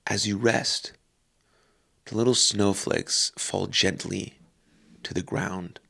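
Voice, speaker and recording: A man leading a guided meditation calmly and unhurriedly, in short phrases with long pauses. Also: fairly low pitch, creaky, breathy edge.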